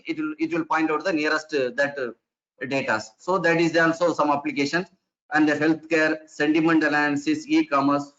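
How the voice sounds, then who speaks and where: A man lectures in a fairly high voice, working through each point step by step and often restarting his sentences. He poses rhetorical questions to his listeners. The audio is dull and lo-fi, like a recorded online session. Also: a bit bright. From India. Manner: explanatory, repeats and restarts phrases, asks rhetorical questions.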